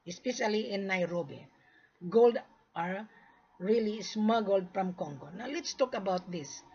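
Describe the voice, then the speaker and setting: Husky, insistent woman explaining to viewers. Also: mid-range voice. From Philippines-born Australian. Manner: emphatic, insistent, repeats points and acts out past exchanges. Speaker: gold trade seller's mandate presenting to camera. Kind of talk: unscripted explanatory monologue with anecdotes. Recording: dull, lo-fi sound.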